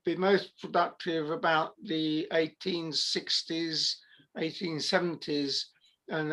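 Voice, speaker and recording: A man informally explaining on a video call, very hesitant and halting with frequent pauses. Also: fairly high pitch, fairly bright tone. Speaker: panelist.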